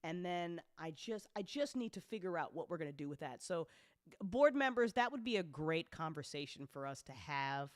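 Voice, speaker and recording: A woman's voice: on the low side, lively intonation, moderate pace, dry recording, studio quality. A woman hosting a casual update talk as an audio drama producer, speaking bluntly and informally with playful slang and free swearing.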